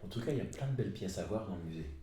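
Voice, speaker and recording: Male interviewer, animated melody, casual agreeable interjections, reverberant room sound. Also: sits low, rapid-fire. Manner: agreeable, chimes in with short affirmations. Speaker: podcast host interviewing.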